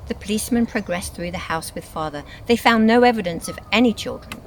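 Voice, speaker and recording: A woman reads scripted storybook narration in an expressive, dramatic storytelling manner. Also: medium pitch, brisk, slight background noise. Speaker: reader of a children's book.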